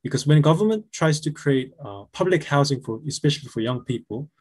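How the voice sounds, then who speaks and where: Husky man presenting with halting fillers. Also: on the high side, lively intonation, a little mellow. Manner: halting, many filler sounds. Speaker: presenter of a housing co-op. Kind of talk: conference presentation over video call. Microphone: video call.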